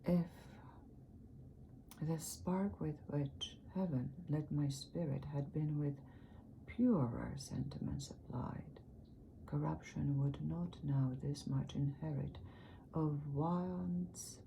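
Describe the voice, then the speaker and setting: The weary, regretful ghost of an old male philosopher, read by a woman from a long poem. He speaks very slowly in a low, gravelly, dark tone. Parts of his lines drop into a whisper. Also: background noise, heavily acted.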